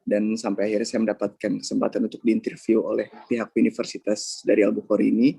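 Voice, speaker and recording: A young man from Indonesia with an Indonesian accent, telling his story hesitantly in a video call webinar and filling pauses with "eh". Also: on the low side, monotone, creaky, warm tone, husky, no echo. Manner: hesitant, many filler sounds, mixes in English. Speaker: scholarship awardee speaker. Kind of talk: webinar talk.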